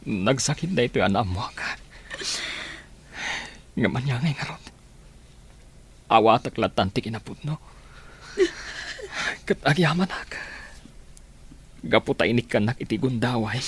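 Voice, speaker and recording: A man's voice: medium pitch, normal speed, long pauses, breathy edge. An actor with a Filipino accent playing a lovesick, heartbroken young man in a radio drama. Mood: heartbroken, pleading.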